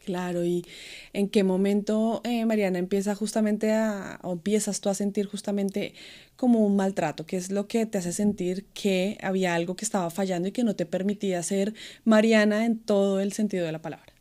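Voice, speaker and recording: A woman's voice: medium pitch, steady tone, moderate pace, dark tone. A woman hosting an interview, asking long, winding questions.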